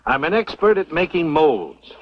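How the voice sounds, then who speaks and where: Husky, shrewd frontier professor. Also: male voice, on the high side, sing-song. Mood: dry, knowing. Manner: measured, inquisitive, learned. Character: shrewd, skeptical male professor in the Old West. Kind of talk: old-time radio drama acting. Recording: muffled old radio recording.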